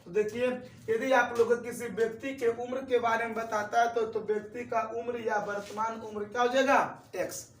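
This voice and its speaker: A young man in his twenties teaches math with a strikingly high voice. He keeps repeating each step and asking his students questions. He stands back from the mic in a roomy, echoing space. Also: flat delivery, moderate pace, fairly bright tone, distant mic, slight background noise. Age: 20s. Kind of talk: classroom-style lesson.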